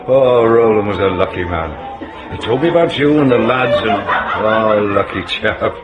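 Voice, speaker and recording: A man's voice: lowish voice, animated, measured pace. An actor playing a flash, breezy British businessman back in town, in a radio drama with a slightly dull recording. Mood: hearty, charming. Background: music.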